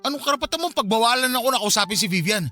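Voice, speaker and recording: A man's voice: very high voice, expressive, very fast, sharp tone, faint music behind, full character voice. A man acting an irritable, dismissive, resentful young husband in a Filipino radio drama, with a Filipino accent. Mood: angry, resentful.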